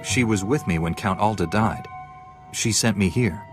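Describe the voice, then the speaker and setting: Jedi knight, male actor: very low voice, earnest and composed game hero, music behind. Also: moderate pace, close to an everyday voice. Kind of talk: video game cutscene acting.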